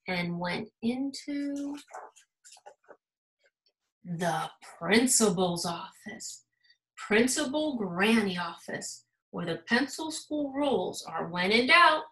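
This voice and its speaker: A woman's voice: sits high, pushed and bright. A woman reading a children's storybook aloud expressively, like a school principal addressing young listeners. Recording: roomy echo.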